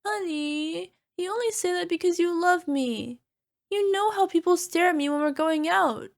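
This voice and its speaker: A woman plays a self-conscious monster girlfriend with a high-pitched, creaky voice. She speaks conversationally, as in an ASMR roleplay, sounding insecure and dramatically, playfully exasperated with her darling. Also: moderate pace. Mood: sheepish. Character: insecure chubby flying purple people eater girlfriend, a cyclops monster.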